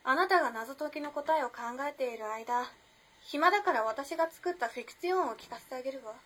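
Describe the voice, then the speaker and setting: A woman's voice: sits high, animated, a little fast, fairly bright tone. A woman playing a self-possessed, teasing modern-girl storyteller in a radio drama, with breezy, ladylike phrasing.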